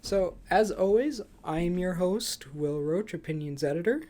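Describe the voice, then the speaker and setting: A man's voice: high-pitched, moderate pace, mellow. A young man hosting a casual movie review podcast, chatting with dry, flippant humour. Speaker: podcast host.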